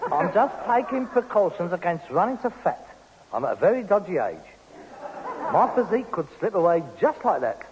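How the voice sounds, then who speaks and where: An actor playing a frustrated, self-important London Cockney son with grand ambitions in a 1960s radio sitcom. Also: male voice, high-pitched, sing-song, moderate pace, darkish tone. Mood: pompous. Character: frustrated, pretentious rag-and-bone man son with grand ambitions. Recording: dull, noisy 1960s radio recording.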